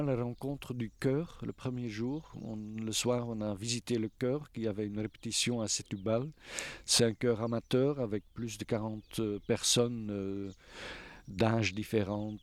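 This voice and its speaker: Guy, low-ish voice, super slow, keeps restarting and hunting for words in an interview. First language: Flemish. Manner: halting, searching for words, frequent restarts. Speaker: theatre observer interviewee. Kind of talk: reflective interview answers.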